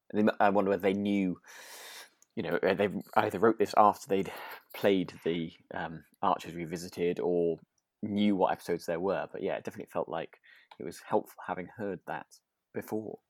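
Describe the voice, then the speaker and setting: A man's voice: deep voice, fairly even intonation, a little fast, no room sound. A British man co-hosting a podcast, chatting casually in a hesitant, giggly, self-deprecating way. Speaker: podcast co-host. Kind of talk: casual chat about a radio drama.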